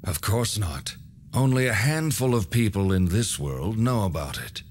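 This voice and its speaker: An actor playing an old alchemist hermit in a video game, courteous and knowing, with measured, formal, cryptic delivery. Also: male voice, on the low side, animated, slight background noise. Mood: instructive. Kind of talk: video game cutscene voice acting.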